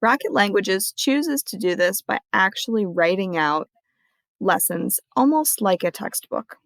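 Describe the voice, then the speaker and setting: A woman librarian walking viewers through a screen-recorded tutorial in a clear, friendly explaining manner. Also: mid-range voice, expressive, a little slow, dry recording.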